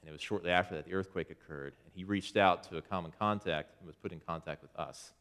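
A man's voice: deep voice, quick pace, a bit bright, smooth. A man, a church elder, giving an informal presentation to his congregation about a mission trip, talking conversationally with frequent restarts as he points to photos.

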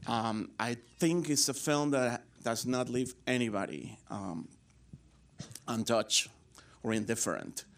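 A man's voice: medium pitch, lively intonation, slow pace. A man with a Colombian accent moderating a post-screening Q and A, with lots of hesitations and fillers. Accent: Colombian Spanish. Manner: hesitant, many fillers and restarts.

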